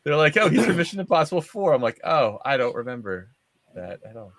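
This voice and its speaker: A man's voice: on the high side, sing-song, fairly quick, a bit bright. A man hosting a movie discussion show on a video call, chatting casually. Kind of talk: casual chat.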